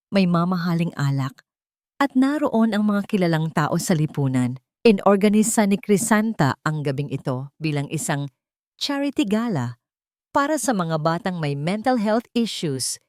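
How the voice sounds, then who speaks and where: A woman's voice: fairly low pitch, a little mellow, no echo, studio quality. A woman narrator reading a scripted story in a dramatic, literary manner.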